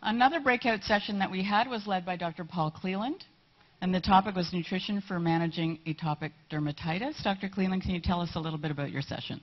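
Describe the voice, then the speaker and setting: A woman moderating a conference panel, brisk and polite as she keeps things moving. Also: lowish voice. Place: conference hall stage. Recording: slightly muffled hall audio.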